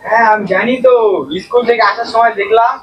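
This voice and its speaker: A man's voice: very high voice, little pitch movement, fast talker, dull audio. A man playing a cheeky young village grandson in an amateur comedy skit, teasing and questioning with a North Bengal accent. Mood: excited, boasting. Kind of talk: amateur comic skit acting. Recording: clear ambient background noise.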